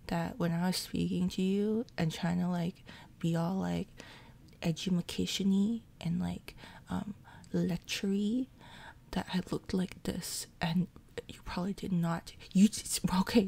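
A woman talking in a soft, breathy half-whisper, rambling and repeating herself in a casual ASMR chat while recovering from jaw surgery. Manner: rambling, repeats words in quick runs. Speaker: ASMR roleplay performer. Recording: low-quality audio with steady background machine noise.

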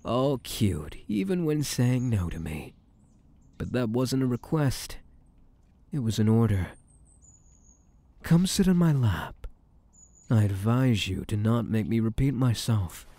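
Man playing possessive mafia boss, flirtatious menace, unhurried, dry close sound, intimate ASMR roleplay, commanding. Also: medium pitch, sing-song, dark tone, no room sound. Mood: commanding, teasing. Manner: slow, teasing, commanding.

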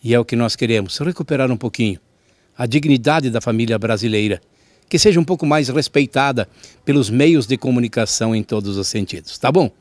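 A man's voice: mid-range voice, brisk, no room sound. A middle-aged man hosting a regional TV show, greeting viewers in a folksy, chatty way outdoors with a handheld mic. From southern Brazil. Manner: warm, folksy, chatty with small laughs. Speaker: TV show host. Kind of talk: friendly direct address to viewers. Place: outdoors in front of a wooden fence.

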